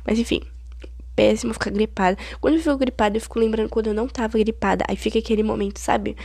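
Stuffy-nosed Brazilian teen girl, gravelly. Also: fairly high pitch, fairly even intonation, fast talker, darkish tone, no room sound. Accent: Brazilian Portuguese. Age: late teens. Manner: chatty, rambling, dramatic complaining. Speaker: podcast host. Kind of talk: casual solo podcast chat.

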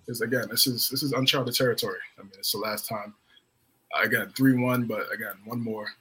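A man co-hosting a casual sports podcast over a video call, excitable and prone to repeating himself. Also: mid-range voice, fairly quick, slight background noise, poor audio. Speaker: podcast co-host.

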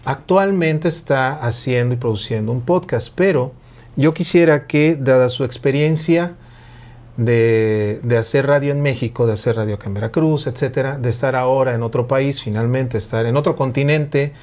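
A man's voice: mid-range voice, moderate pace, darkish tone. A man, hosting an interview and asking long, winding, friendly questions. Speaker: radio host interviewing a guest. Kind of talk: conversational interview.

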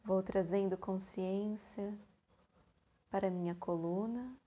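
A woman with a Brazilian accent guides a meditation extremely slowly. Her voice is mellow and slightly husky, and she leaves long, soothing pauses between short phrases. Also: mid-range voice. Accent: Brazilian Portuguese.

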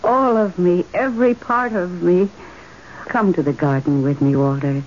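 An American actress playing an entranced, defiant wife with a dreamy, theatrical delivery in an old radio drama. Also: female voice, lowish voice, moderate pace, a little mellow, natural voice. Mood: rapturous. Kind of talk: 1970s radio drama acting. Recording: dull, hissy old radio recording.

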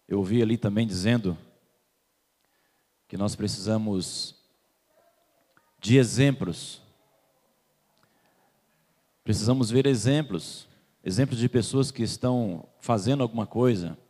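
A man's voice: on the low side, warm tone. A man from Salvador, preaching a sermon in a church and putting questions to the congregation. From Salvador, Bahia, Brazil. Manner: questions the congregation and waits for replies. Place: church hall. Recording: long hall reverb.

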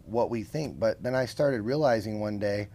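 A man's voice: on the low side, moderate pace, dark tone. A man as a podcast guest, explaining his views earnestly and often checking in with "right?" and rhetorical questions. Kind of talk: casual conversational interview.

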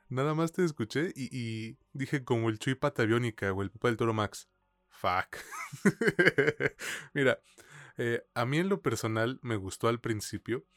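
A man's voice: fairly low pitch, dry recording. A man with a Mexican accent giving a casual review as a podcast co-host, speaking informally with plenty of slang and swearing.

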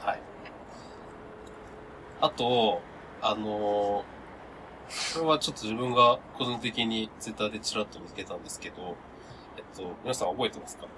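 A man's voice: fairly low pitch, normal speed, pauses often. A man in his thirties co-hosting a casual chat show, speaking politely and hesitantly. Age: 30s. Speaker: podcast host. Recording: clearly noisy room recording.

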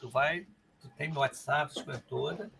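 A Carioca man hosting a podcast, chatting excitably in quick, animated bursts. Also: a little high, vocal fry, slight background noise. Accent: Carioca Portuguese. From Rio de Janeiro, Brazil. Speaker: podcast host. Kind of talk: casual podcast chat.

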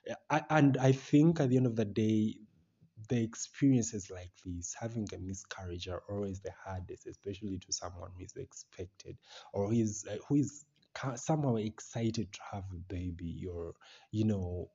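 Ugandan man, podcast host, husky, dark-toned, casual commentary, talks straight to listeners, dull lo-fi sound. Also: on the low side, normal speed.

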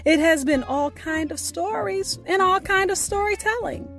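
A woman hosting a podcast, reading scripted announcements in a deliberate, carefully enunciated way. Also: extremely high pitch, fairly bright tone, faint music behind. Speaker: podcast host.

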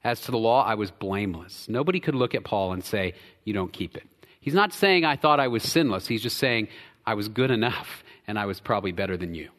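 A man from the United States preaching a sermon as pastor, swinging between loud emphatic stretches and quiet low drops. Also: expressive, a little fast. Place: church sanctuary. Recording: church hall with long reverb.

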